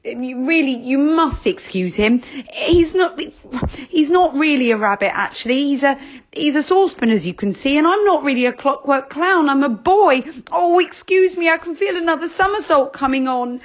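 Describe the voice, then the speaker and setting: A woman's voice: animated, normal speed. Woman doing flustered clockwork-clown boy. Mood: apologetic. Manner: squeaky, stammering, keeps apologizing. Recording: dull lo-fi recording.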